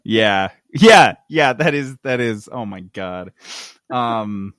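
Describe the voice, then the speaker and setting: A man's voice: medium pitch, animated, takes it slowly, a bit bright, no room sound, clean studio sound. A man co-hosting a casual gaming podcast, talking in a joking, sarcastic way. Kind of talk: casual gaming news chat.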